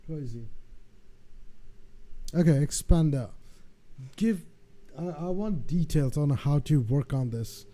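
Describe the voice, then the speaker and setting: A man with a notably dark, mellow tone and a slightly raised pitch talks half to himself as he fiddles with his audio setup. His delivery is loose and muttered, breaking into frustrated swearing when something fails. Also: animated, slow, pauses often. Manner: frustrated, swearing, thinking aloud. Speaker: streamer. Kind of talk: casual muttering while testing audio settings.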